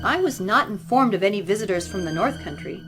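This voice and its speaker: A woman's voice: mid-range voice, animated, fairly quick, a bit bright, lightly acted. Stern zealot priestess played by a woman, music behind. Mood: stern, accusing. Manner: formal, commanding, preaching. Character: stern, zealous female religious leader of a strict colony. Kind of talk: audio drama acting.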